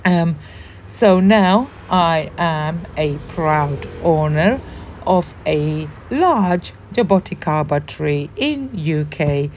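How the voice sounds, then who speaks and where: A woman with a hoarse, worn voice from the flu, chatting casually and warmly as host of a gardening vlog, with frequent ums. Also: low voice, lively intonation, extremely slow, slight background noise. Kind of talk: casual show-and-tell vlog.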